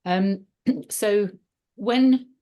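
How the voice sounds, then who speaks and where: A middle-aged woman with a British accent, presenting to a video call group in a brisk, chatty way with many ums and restarts. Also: medium pitch, warm tone.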